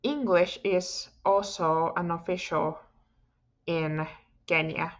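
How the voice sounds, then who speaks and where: Slow, careful woman teaching Finnish. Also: on the low side, steady tone, lo-fi sound. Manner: slow, careful pronunciation drills, repeating each word. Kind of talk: slow scripted language lesson.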